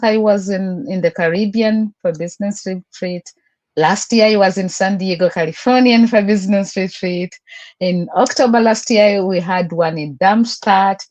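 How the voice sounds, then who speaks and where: A woman's voice: fairly low pitch, darkish tone. A middle-aged woman with a Ugandan accent, giving a personal testimonial in short, emphatic phrases on a group call. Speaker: guest, business coach and language teacher.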